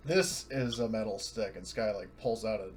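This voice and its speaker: A man's voice: lowish voice, normal speed, slight background noise. A man playing a tabletop game, chatting casually with questions and quips.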